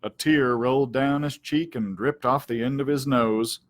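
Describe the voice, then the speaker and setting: A man reading a children's story aloud as a library storyteller, dramatic and deliberate in his delivery. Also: medium pitch, steady tone. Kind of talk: expressive children's audiobook-style read-aloud.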